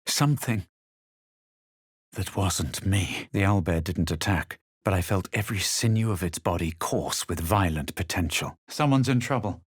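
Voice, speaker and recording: Husky, low-voiced, smug fantasy adventurer. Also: male voice, animated, no room sound, clean studio sound. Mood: measured, self-assured. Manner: superior, measured, dramatic. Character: smug, self-assured adventurer hero of a fantasy game. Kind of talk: video game voice lines, narration and spell calls.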